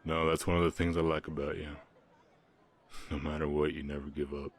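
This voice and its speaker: Man voicing a very deep Southern orc ranch hand. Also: level tone. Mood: flustered, yielding. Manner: halting, sighing, earnest. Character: gentle, reserved orc ranch hand in love. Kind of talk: intimate ASMR romance roleplay, Western setting.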